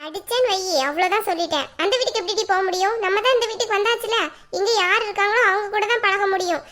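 Woman doing an annoyed young mom in a family drama, super high sharp voice, blunt and scolding. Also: fast talker. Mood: irritated, scolding. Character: exasperated young mother, scolding and threatening her little daughter.

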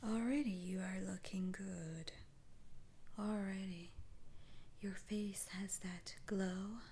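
A woman whispering in a breathy, halting, gently reassuring way, roleplaying a soothing witch doctor in an ASMR style. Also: mid-range voice, steady tone, a little hiss or hum. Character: soothing, unhurried female witch doctor lifting curses. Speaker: ASMR performer.

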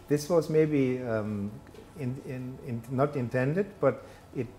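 A man's voice: mid-range voice, takes it slowly, warm tone. A man, speaking as a guest in a studio interview, hesitant and self-deprecating with many fillers and restarts. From Germany. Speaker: guest, telecom executive. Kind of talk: conversational interview. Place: studio set with brick wall, indoors.

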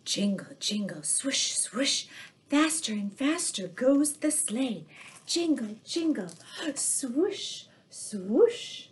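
A middle-aged woman hosting a storytime for young children, reading aloud in an animated, drawn-out way. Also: on the high side. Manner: animated, drawn-out delivery with sound words and gasps. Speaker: children's storytime host dressed as Mrs. Claus. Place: living room indoors by a fireplace. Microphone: webcam at arm's length or further.

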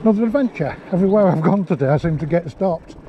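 A man's voice: high-pitched, animated, fast talker, lo-fi sound. A middle-aged man chatting casually as he vlogs a ride along the cliff tops, recorded on an action camera outdoors. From England. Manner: chatty, rambling, chuckles often. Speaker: vlogger. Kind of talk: casual vlog narration while riding. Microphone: action camera on handlebars and held near face. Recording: wind and outdoor noise.

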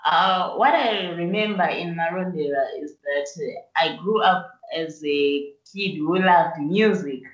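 A young woman from Zimbabwe, a musician and actress, answering interview questions over a video call in an unhurried, soft-spoken way. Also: fairly low pitch. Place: small room indoors. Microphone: video call webcam. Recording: distant, roomy, dull video-call audio.

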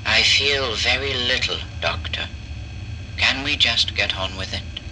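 Guy doing a gloomy young woman patient, slow and brooding, echoey horror radio play. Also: mid-range voice, sharp tone, slight background noise. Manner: slow, brooding, poetic and weary. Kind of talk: horror audio drama acting.

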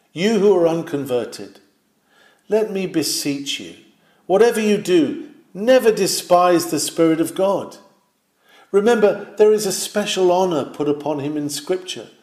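A man's voice: fairly high pitch, darkish tone, reverberant. A man reading an old sermon aloud in a solemn, exhorting manner, with rising and falling emphasis. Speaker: reader of a historical sermon.

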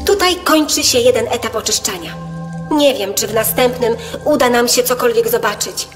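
A woman's voice: on the high side, normal speed, soft background music, close to an everyday voice. Woman acting a reassuring guide in long reverb. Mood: explanatory. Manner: reassuring, explanatory. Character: calm, knowledgeable female guide of young explorers. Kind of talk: children's audio drama acting.

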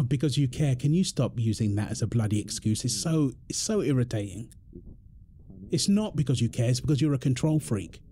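A man's voice: fairly high pitch, mellow, a little hiss or hum. A British man acting an exasperated, protective husband in a confrontational audio roleplay drama, speaking emphatically. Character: exasperated, protective husband arguing with his overbearing mother-in-law. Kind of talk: ASMR roleplay drama monologue.

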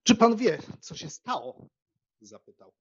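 A man acts out a young country gentleman for a dramatised audiobook. He is frank and teasing, with a husky, expressive delivery. The sound is slightly dull. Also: high voice, measured pace, full character voice. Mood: agitated. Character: young Polish country squire, frank, teasing, deferential brother.

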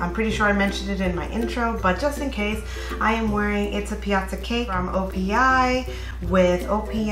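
Bubbly vlogger woman in her 30s, chatty, echoey home room, faint music behind. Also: mid-range voice. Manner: bubbly, gushing, many fillers. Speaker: nail polish YouTuber. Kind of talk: casual vlog chat. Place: bedroom at home.

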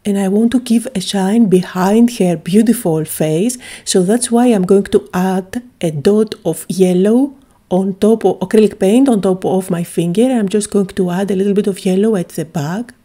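A woman's voice: medium pitch, moderate pace, warm tone. A woman from Greece, chattily and enthusiastically narrating a craft tutorial, with frequent little "uh" fillers.

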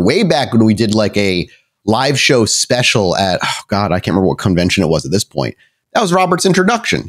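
A man hosting and narrating a tabletop roleplaying game, often halting and correcting himself as he goes. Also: medium pitch. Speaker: podcast host and game storyteller.

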